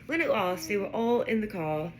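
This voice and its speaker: A woman putting on a British accent to read a children's storybook aloud in a measured, expressive way. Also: mid-range voice, close to an everyday voice. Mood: storytelling. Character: British storybook narrator reading a wizard school tale. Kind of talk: scripted narration.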